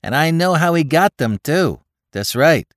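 Man putting on a high New York street crook, excitable, slangy, loud, bouncy, dry sound. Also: unhurried, no room sound. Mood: excited. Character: cheeky young male Bowery crook, excitable.

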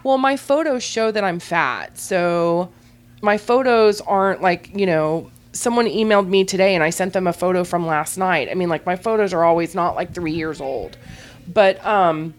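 A woman's voice: lowish voice, normal speed. A woman guest on a podcast, telling blunt, unembarrassed stories in a rambling way full of "like". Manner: rambling, heavy use of "like". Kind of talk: candid casual storytelling.

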